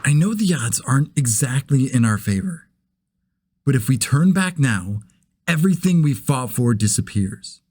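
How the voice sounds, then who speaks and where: Hero male actor: determined, earnest, medium pitch, slightly rough edge, scripted character read. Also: animated, normal speed, a little mellow. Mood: resolute. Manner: earnest, resolute. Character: determined, earnest heroic male fighter facing bad odds.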